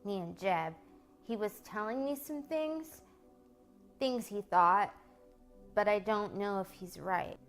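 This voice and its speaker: A woman's voice: medium pitch, slow pace, pauses often, faint music behind. A woman voicing a young teenage boy who pleads while fighting back tears. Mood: anxious.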